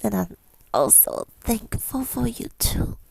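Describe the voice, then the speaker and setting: A woman giving an intimate ASMR monologue, mostly in a breathy whisper, with a tender, hesitant, affirming manner, close to the mic. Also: on the high side, very animated, slow, a little mellow, no echo, poor audio. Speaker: ASMR creator speaking to listeners.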